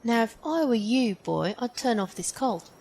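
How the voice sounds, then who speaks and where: A woman's voice: fairly high pitch, lively intonation, normal speed, darkish tone. An actress playing a tough, confident female soldier with a wry manner in an audio drama. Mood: threatening. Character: tough, cocky female soldier, threatening and loyal.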